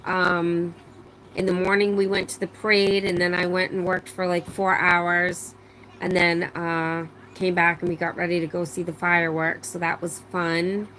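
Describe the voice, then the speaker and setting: A woman's voice: fairly low pitch, level tone, normal speed, a bit bright, slight background noise, rough recording. A middle-aged woman casually chatting on a live stream, reading out viewer comments with lots of fillers, her voice a little strained after laryngitis. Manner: chatty, rambling, many fillers and restarts. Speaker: livestream host.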